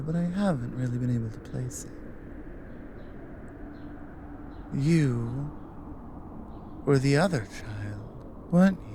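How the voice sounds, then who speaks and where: An exiled cougar guardian acted by a woman speaks slowly in a mellow, very low female voice. She is lonely, guarded and boldly flirtatious, murmuring haltingly and slipping toward whispers in an intimate ASMR roleplay, with faint ambience behind her. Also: a little hiss or hum, full character voice. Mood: wounded recognition. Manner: slow, halting, breathy murmurs dropping into whispers.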